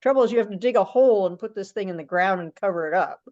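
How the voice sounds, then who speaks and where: A woman's voice: medium pitch, quick pace, darkish tone. A woman chatting informally and plainly in an online meeting, heard over a conference call. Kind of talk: casual meeting chat. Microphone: teleconference call. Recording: dull lo-fi conference call audio.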